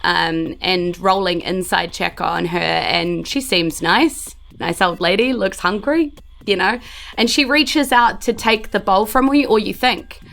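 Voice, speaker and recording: A woman's voice: mid-range voice, unhurried, vocal fry, a bit bright. A woman, narrating a tabletop game off the cuff as game master. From New Zealand.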